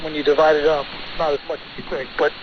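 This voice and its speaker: Male American lawyer as interview guest, fairly high voice, emphatic and argumentative, muffled radio sound. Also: little pitch movement, fast. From United States. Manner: assertive, emphatic, insistent. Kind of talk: broadcast interview answers, argumentative. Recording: noisy, dull shortwave radio relay.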